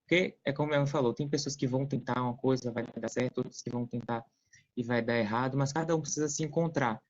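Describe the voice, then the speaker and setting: Brazilian man, mid-pitched, on muffled call. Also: steady tone, fast. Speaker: interview guest, digital entrepreneur. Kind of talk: casual explanatory advice. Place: remote video call.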